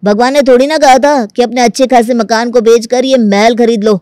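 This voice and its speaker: A woman voicing a bold, scheming young family man in a Hindi animated story, exasperated and persuasive in a colloquial way. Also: on the high side, brisk, smooth, no echo, clean studio sound, close to an everyday voice. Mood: exasperated, sarcastic.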